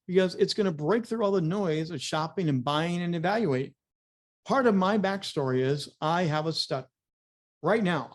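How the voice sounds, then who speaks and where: Male media coach, voice a little on the high side, presenting business advice with emphatic, punchy, repetitive phrasing. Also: darkish tone. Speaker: media coach and motivational speaker.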